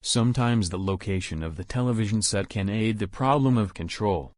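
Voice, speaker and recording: Guy reading a practice passage out loud, kinda low voice, even and careful, dry sound. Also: fairly quick, no room sound. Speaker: model reader for exam practice.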